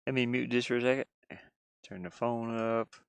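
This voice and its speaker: A man's voice: medium pitch, level tone, normal speed, creaky, clear, resonant tone, dry recording. A man streaming casually, rambling and muttering to himself as he thinks aloud while he works on screen. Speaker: game-streaming host and hobby game designer. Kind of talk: casual livestream chat while working on screen.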